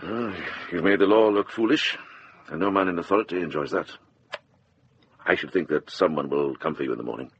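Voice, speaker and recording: An actor playing a shrewd, kindly older monk in a radio drama, in a husky voice. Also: male voice, deep voice, fairly quick, fairly bright tone, dull audio, heavily acted. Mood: calm, grave.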